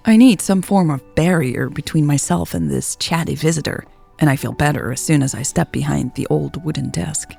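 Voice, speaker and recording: A woman's voice: low voice, moderate pace, creaky, warm tone, soft background music. A woman reading a first-person romance story as a scripted narration.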